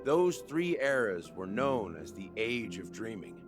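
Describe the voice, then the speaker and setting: An actor playing a secretive man who solemnly lays bare the dark history of a dream world in a game cutscene. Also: lowish voice, sing-song. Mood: grave. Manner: measured, solemn explaining. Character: grave schemer revealing a dreamland's dark secret. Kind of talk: video game cutscene dialogue. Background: game music.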